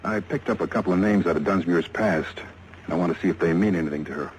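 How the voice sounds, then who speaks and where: A man's voice: sits low, fast, natural voice, poor audio. An American man playing a businesslike, suspicious police lieutenant in a 1950s radio detective drama. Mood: musing. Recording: vintage radio recording with faint noise.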